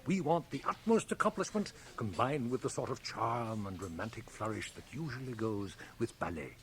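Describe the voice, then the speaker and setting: A man's voice: medium pitch, animated, moderate pace, a bit bright. A grand middle-aged illusionist, suave and imperious. His cultivated, pompous speech rises and falls dramatically, on a faintly noisy old cassette copy of a radio play. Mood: lofty, assured. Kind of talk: 1980 radio drama acting.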